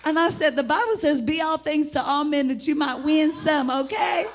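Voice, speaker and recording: A middle-aged woman with an American accent and a husky, gravelly voice, preaching an informal, rambling sermon in an animated, folksy way. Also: high-pitched, normal speed, a little hiss or hum. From United States (Indianapolis, Indiana). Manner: animated, rambling, folksy, breaking into laughter and exclamations. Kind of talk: informal sermon with personal testimony.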